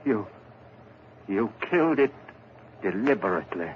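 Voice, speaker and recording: An actor playing a gentle, earnest idealist in theatrical 1940s radio drama style. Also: male voice, on the low side, animated, measured pace. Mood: distressed. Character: gentle, idealistic, earnest man with keen hearing. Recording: muffled, hissy 1940s radio recording.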